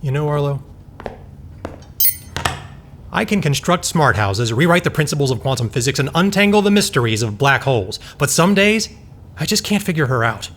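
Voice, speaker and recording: Nerdy earnest physicist guy, kinda high voice, explains stuff, audio drama. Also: lively intonation, brisk, a bit bright. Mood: exasperated, rueful. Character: exasperated brainy male quantum physicist, earnest, self-important.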